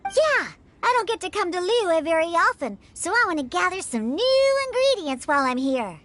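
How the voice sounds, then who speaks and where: Very high-pitched feisty little cat-girl. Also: very animated, normal speed, a bit bright, heavily acted. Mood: eager. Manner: emphatic, grumbling, childlike. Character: feisty little cat-girl bartender who hates alcohol. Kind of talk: video game character acting.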